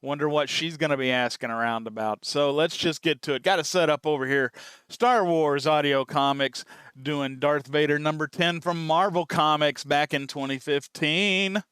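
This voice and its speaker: A man's voice: a little high, moderate pace, fairly bright tone, smooth, dry recording. A man casually hosting a reaction show, chatty and full of enthusiasm. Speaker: host of a fan reaction channel.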